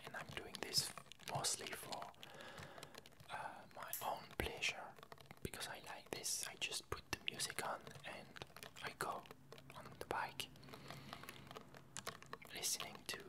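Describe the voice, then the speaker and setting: A woman whispering a casual ASMR update, talking in halting, softly hesitant phrases. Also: poor audio. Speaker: ASMR video creator.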